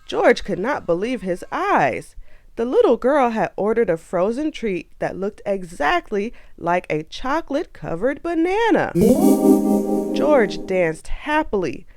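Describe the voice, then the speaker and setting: A woman narrating a children's storybook aloud in an expressive, animated way. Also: on the high side, measured pace, soft background music.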